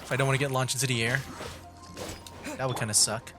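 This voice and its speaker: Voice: medium pitch, little pitch movement, fast. A streamer giving casual gameplay commentary in a chatty way, reacting aloud while playing. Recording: music behind.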